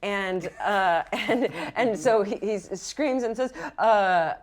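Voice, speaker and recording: A woman's voice: mid-range voice, takes it slowly. A woman game master narrating and explaining rules in an improvised, playful and encouraging way.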